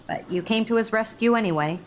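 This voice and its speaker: A woman's voice: fairly high pitch, fairly quick, a little hiss or hum, lightly acted. An actress playing a snippy, jealous young female student in a video game. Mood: jealous, cutting. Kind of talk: naturalistic video game acting.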